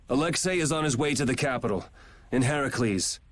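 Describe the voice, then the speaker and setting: A man's voice: mid-range voice, unhurried, a bit bright, a little hiss or hum, close to an everyday voice. An actor voicing a laid-back, wry young swordsman hero in a video game, firm when it counts. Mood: calm. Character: cool young male swordsman hero, sardonic. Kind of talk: game voice acting. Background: game music.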